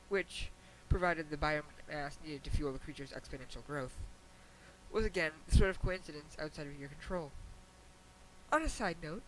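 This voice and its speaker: A high-pitched, regal pony princess, played by a man, reading out a letter in a storybook style. The delivery is formal and measured, patient and gently admonishing, with wry understatement. There is an audible hiss on the amateur recording. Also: rough recording. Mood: diplomatic, gently chiding, then wry, composed. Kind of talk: dramatic reading of a fan fiction letter. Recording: hissy amateur home recording.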